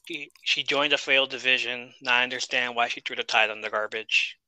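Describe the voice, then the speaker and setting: Bright, crisp-voiced male podcast host. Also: medium pitch, fairly even intonation, a little fast, no room sound, rough recording. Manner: chatty, reads notes, jokes and laughs. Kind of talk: casual wrestling review chat.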